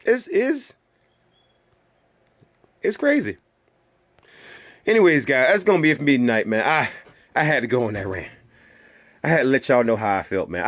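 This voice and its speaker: A man hosting his own channel, delivering a heated, profane rant to viewers with a string of rhetorical questions and repeated phrases. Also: fairly low pitch. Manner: heated and emphatic. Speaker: channel host. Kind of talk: ranting monologue to viewers.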